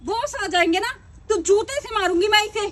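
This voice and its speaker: A woman's voice: very high voice, normal speed, recorded from a distance, slight background noise. A woman playing a haughty, spiteful office employee in a melodrama skit, scolding and full of scorn. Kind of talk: amateur Hindi melodrama skit acting.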